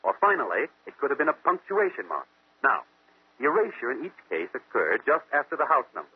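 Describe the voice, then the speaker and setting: Confident radio detective, tinny vintage sound. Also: male voice, mid-range voice, wildly swooping pitch, breathy edge, heavily acted. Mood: confident, explanatory. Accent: American. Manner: deliberate, didactic, question-and-answer reasoning. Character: brilliant male amateur detective, methodical and confident, explaining deductions. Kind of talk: 1940s radio mystery acting. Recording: muffled vintage radio recording, phone-like.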